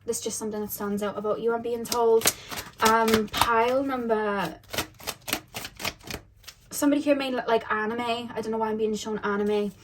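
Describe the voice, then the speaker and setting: A woman's voice: a little high, fairly even intonation, normal speed, pauses often, background noise. Chatty woman giving a tarot reading. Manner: chatty, musing aloud, repeats questions to spirit guides. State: voice going a little deep. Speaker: tarot reader. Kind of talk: casual tarot reading to camera. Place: indoors at home.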